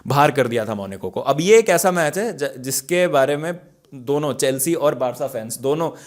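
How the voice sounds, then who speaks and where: A man's voice: medium pitch, lively intonation, moderate pace. A man with an Indian accent talks through football matches as a show host, conversational and opinionated, with emphatic asides. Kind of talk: casual football match analysis, mixing Hindi and English.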